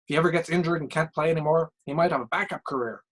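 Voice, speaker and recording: A man's voice: a little high, very fast, fairly bright tone. Ex-coach turned hockey pundit, played by a male story reader: blustery, opinionated, emphatic, punchy slangy phrasing. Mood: opinionated, admiring. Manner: ranting, emphatic, slangy short sentences. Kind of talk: acted sports commentary in a short story reading.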